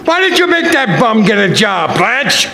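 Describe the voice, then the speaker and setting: An older man acts out a nervy, put-upon husband in a radio comedy. His voice is strikingly high for a man, full of indignant grumbling. It rings in a roomy, echoing space. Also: big pitch swings, moderate pace, vocal fry, pushed and bright, a little hiss or hum, full character voice. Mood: indignant ranting. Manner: exasperated, complaining, indignant. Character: grumpy, anxious, long-suffering husband dreading an operation. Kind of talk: old-time radio comedy sketch acting.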